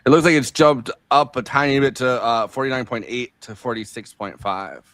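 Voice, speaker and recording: A man's voice: mid-range voice, normal speed, fairly bright tone, dry recording. A man co-hosting a casual live election stream, joking and tossing off goofy bits. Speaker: co-host. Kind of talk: casual livestream chat.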